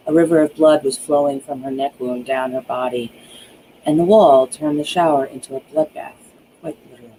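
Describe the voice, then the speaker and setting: An author reading their own horror thriller aloud as dramatic narration, drawing out key words with hushed emphasis. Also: mid-range voice, slight background noise, rough recording.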